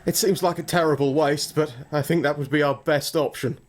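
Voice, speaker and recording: A man plays a haunted young husband in a gothic radio play. His voice sits a little high, with an earnest, troubled, formal delivery. Faint noise runs behind it. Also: fairly even intonation, a little fast, close to an everyday voice. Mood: determined. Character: anxious, haunted young English gentleman husband. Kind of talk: gothic audio drama acting and first-person narration.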